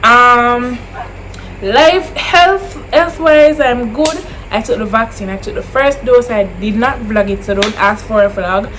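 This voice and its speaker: A woman's voice: fairly high pitch, measured pace, fairly bright tone, slight background noise, low-quality recording. A twenty-year-old woman with a Jamaican accent, answering viewer questions in a casual chat to camera, slipping into Creole phrasing and repeating herself as she talks.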